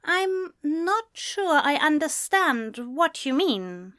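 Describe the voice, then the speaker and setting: A woman voicing an anxious, soft-hearted young male student who speaks in careful, formal, hesitant phrases in an audiobook story. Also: extremely high pitch, very animated, unhurried, pitched above the performer's own voice, full character voice. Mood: careful, nervous.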